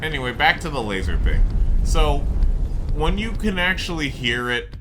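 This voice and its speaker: A man's voice: fairly high pitch, very animated, moderate pace, noisy background. A man giving casual, rambling video game commentary, drifting off into asides. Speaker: gameplay commentator. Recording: music behind the voice. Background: game music.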